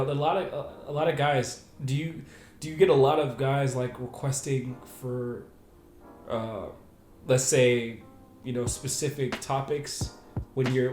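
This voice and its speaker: A man hosting a casual podcast, asking halting questions and answering with short laid-back agreements. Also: medium pitch, darkish tone, echoey room. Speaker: podcast host.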